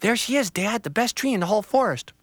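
A man voicing an eager little child, excited and pleading. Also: sits high, fast, no echo, voice pushed up.

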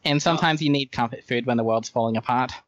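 A man's voice: medium pitch, quick pace, fairly bright tone, no echo. A man chatting casually as a podcast guest in a wry, understated way. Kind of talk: casual chat.

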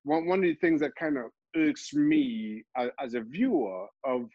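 Halting man from Trinidad and Tobago. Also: mid-range voice, animated, no echo, dull audio. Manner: halting, many restarts and repeated words. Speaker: football pundit and show host. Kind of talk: candid interview answers.

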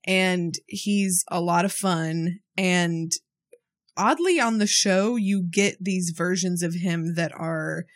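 A woman co-hosting a podcast, talking through her film picks in a thoughtful, casual way. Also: lowish voice. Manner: thoughtful, measured, some hesitations. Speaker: podcast co-host. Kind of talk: casual chat.

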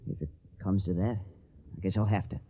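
A man's voice: deep voice, a little fast, dark tone, breathy edge. A man playing an earnest, dutiful young farmer with a Southern accent in a radio drama. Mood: resolute.

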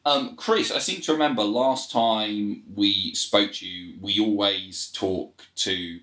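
A man's voice: lowish voice, slow pace, a bit bright. A man hosting a podcast, leading a casual discussion and putting questions to his guest. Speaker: podcast host. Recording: roomy, echoing room sound.

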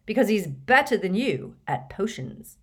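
A woman's voice: medium pitch, sing-song, a little slow, slightly rough, voice pushed up. Woman doing a brash, indignant teenage boy. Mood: scornful. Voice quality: robust, emphatic.

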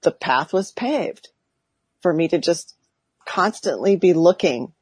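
Female guest coach, husky and dry, thinks aloud, warm laughter. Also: lowish voice, animated, slow pace, dry recording. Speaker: podcast guest, life coach. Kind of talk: casual interview chat.

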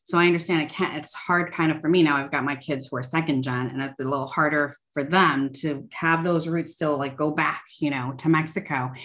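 A woman hosting an interview, encouraging her guests and affirming them often. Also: deep voice. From United States. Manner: encouraging, frequent affirming backchannels. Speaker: interview host. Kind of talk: conversational interview.

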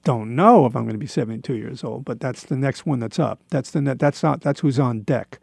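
A man in his seventies giving a rambling personal monologue in a halting, reflective, self-deprecating way, with a gravelly voice. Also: mid-range voice, darkish tone, dry recording, studio quality. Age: 70s.

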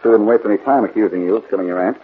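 An actor playing a confident, wry amateur detective in a 1940s radio drama, with brisk, snappy delivery. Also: male voice, fairly low pitch, lightly acted. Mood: skeptical, pointed. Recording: muffled, noisy 1940s radio recording.

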